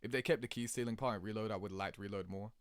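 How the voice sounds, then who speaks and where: A man giving casual, rambling reaction commentary in an animated, slangy, sweary way, laughing easily. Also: fairly low pitch, very fast, clear, resonant tone, dry recording, studio quality. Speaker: video creator reacting to game cutscenes.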